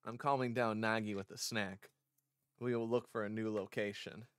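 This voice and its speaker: A man's voice: lowish voice, fairly even intonation, moderate pace, a bit bright, clear, resonant tone, no echo. A man casually reading a visual novel aloud as he streams it, rambling off into chatty asides. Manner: rambling, chatty asides between read lines. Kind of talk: casual game playthrough commentary with read-aloud dialogue.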